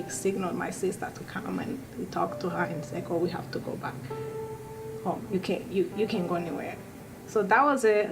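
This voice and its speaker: A young woman from Rwanda, soft-spoken and halting as she tells her story in an interview, often circling back to restart a sentence. Her voice sits at an everyday middle pitch. A loud music bed plays under her throughout. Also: poor audio. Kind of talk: personal testimony interview.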